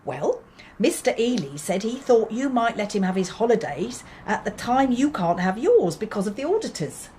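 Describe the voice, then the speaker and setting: An actress playing a brisk, shrewd, composed mother-in-law in a radio drama, with an English accent. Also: mid-range voice, sing-song, dark tone, natural voice. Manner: crisp, composed, managing. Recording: faint background noise.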